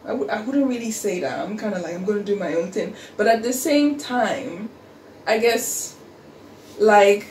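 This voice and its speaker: A young woman with an Australian accent talks in a loose, animated way, full of "like" and restarts. She sits back from the microphone, so the room echo is plain, and a faint hiss runs underneath. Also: medium pitch, moderate pace, far from the mic. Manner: chatty, animated, lots of fillers. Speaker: co-host. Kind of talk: casual conversation. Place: room in England. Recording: echoey room, voice off-mic, faint background hiss.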